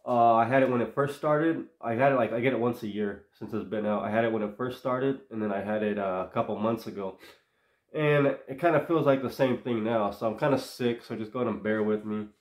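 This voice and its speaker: A man's voice: mid-range voice, fairly quick, reverberant. A man with a stuffy nose from being sick, hosting his own channel and giving rambling, opinionated football takes. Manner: rambling, opinionated, talks in loose runs. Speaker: sports channel host. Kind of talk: casual solo sports commentary.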